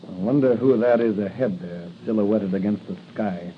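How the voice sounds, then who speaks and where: A man's voice: fairly low pitch, moderate pace, natural voice. Male actor as masked crime-fighter: bold, earnest, declamatory old-radio delivery, muffled with light noise. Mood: bold, urgent. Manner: declamatory, resolute. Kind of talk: 1940s radio acting. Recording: dull, noisy 1940 radio recording.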